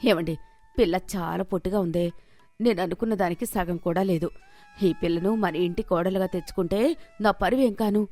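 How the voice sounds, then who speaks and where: A woman's voice: lowish voice, moderate pace, a little mellow, faint music behind. A woman voicing a proud, fussy village mother-in-law with a mocking, domineering way of talking, in a moral story. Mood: displeased. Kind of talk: Telugu moral story character voice.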